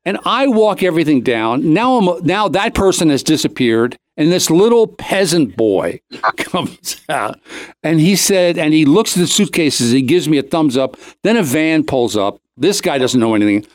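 A man's voice: on the high side, very animated, normal speed, no echo. A man hosting a talk show, telling a comic anecdote in a casual, rambling way, cutting himself off and acting out the dialogue. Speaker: show host.

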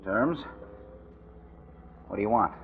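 A man's voice: fairly low pitch, slow, vocal fry, slight background noise. An actor playing a hot-tempered, thuggish henchman in a 1940s radio crime drama, on an old muffled recording. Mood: wary.

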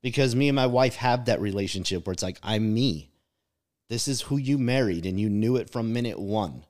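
A man's voice: lowish voice, normal speed, darkish tone. A man co-hosting a casual podcast conversation, talking in a reflective, emphatic way and leaning on "like" and "right?" to drive his points home.

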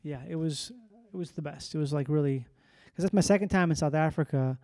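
A man's voice: a little high, fairly quick, mellow, no echo, clean studio sound. A man in casual conversation, easygoing, slangy and playful. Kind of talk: casual chat.

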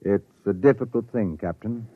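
Actor as a sober ship's doctor, tinny radio. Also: male voice, fairly low pitch, normal speed, close to an everyday voice. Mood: reluctant. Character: reluctant, humane male doctor on a spaceship. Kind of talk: 1950s radio drama acting. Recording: tinny vintage radio, narrow band.

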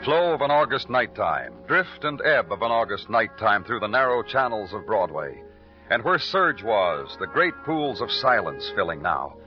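Low-voiced, brooding radio detective. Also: male voice, very animated. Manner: measured, moody, poetic delivery. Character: world-weary, brooding male police detective narrator. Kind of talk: 1940s-50s hard-boiled radio narration. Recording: muffled old radio recording, music behind.

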